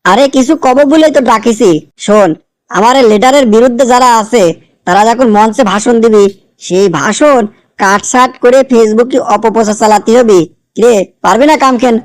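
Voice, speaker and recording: A man's voice: extremely high pitch, normal speed. A man playing an obliging, scheming political fixer in a cartoon, conniving in a Kushtia accent from Bangladesh. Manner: assuring the boss, conniving. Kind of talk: animated cartoon dialogue.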